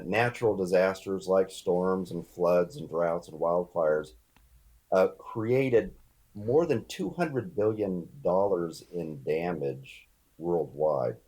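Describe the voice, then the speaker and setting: A man from California, a research scientist guest, speaking in a measured way with occasional fillers. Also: low-pitched, a little mellow. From California's San Joaquin Valley. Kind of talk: podcast interview answer.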